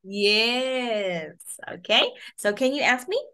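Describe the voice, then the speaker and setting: A woman in her twenties from the Philippines, teaching English to a child over a video call and modelling phrases slowly in a sing-song way. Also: fairly high pitch, lively intonation, pushed and bright. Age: 20s.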